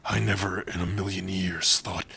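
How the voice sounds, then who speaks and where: Gentle giant orc played by a man, low-pitched and crisp-edged, hesitant and lovestruck, for intimate ASMR roleplay. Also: flat delivery, exaggerated voice. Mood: nervous, tender. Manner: hesitant, halting, soft-spoken, intimate. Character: big tender, bashful male orc in love. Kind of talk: ASMR romantic fantasy roleplay.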